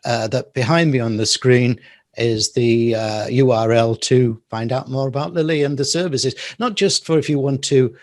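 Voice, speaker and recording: A man's voice: mid-range voice, moderate pace. A man hosting a business interview show, asking questions in a chatty way with tag questions and light asides.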